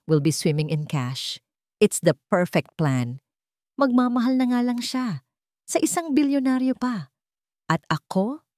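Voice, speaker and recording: A woman with a Filipino accent voicing a greedy, falsely tender fiancé in an audio storybook, with a smooth, calculating manner. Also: lowish voice, a little mellow, dry recording, clean studio sound.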